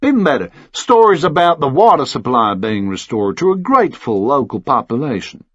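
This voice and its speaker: A man voicing a cold, formal Texan official in an audiobook, measured, condescending and threatening. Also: medium pitch, sing-song, a bit bright, husky, no room sound, dull audio.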